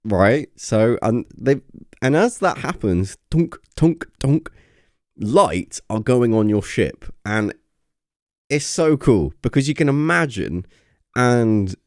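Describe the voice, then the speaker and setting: A man's voice: deep voice, darkish tone, no echo, clean studio sound. A man casually talking about a game update on his channel, enthusiastic and rambling with lots of fillers, his voice getting a little worn. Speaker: gaming channel host. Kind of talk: casual vlog commentary.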